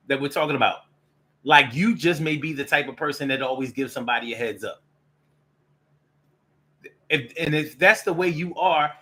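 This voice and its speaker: A man's voice: on the high side, bright, forceful tone. An American man giving a casual, opinionated solo monologue in streetwise slang, repeating phrases for emphasis. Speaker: solo show host.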